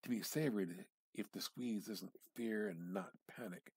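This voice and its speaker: A man gives a dramatic reading of literary fiction, deliberate and intense, halting for dramatic pauses. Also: on the low side, a little mellow, breathy edge, dry recording. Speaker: amateur reader.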